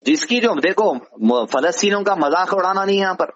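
A man's voice: high-pitched, expressive, fairly quick, a bit bright. A man with a Hyderabadi accent explaining things didactically on a phone call, often asking rhetorical questions. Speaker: advisor answering a caller. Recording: dull lo-fi phone call recording.

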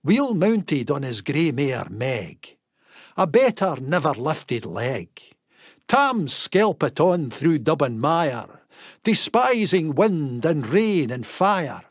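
A Scottish man reciting a narrative poem in Scots in a theatrical, deliberate, emphatic storytelling manner. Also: on the high side, very animated, no room sound, studio quality.

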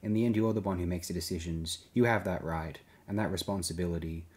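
An earnest young Jedi hero in an audiobook, read by a male narrator. He has a low voice and a measured, sincere, gently reassuring way of talking. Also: fairly even intonation, close to an everyday voice. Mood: quietly firm.

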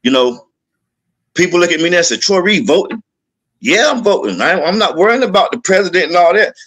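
Man guest, Southern US accent, pitch a bit high, earnest and repetitive video-call talk. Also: animated, fairly quick, a bit bright, slightly rough. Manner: earnest, repetitive, speaks in lists. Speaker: podcast guest. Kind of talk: testimony-style panel talk.

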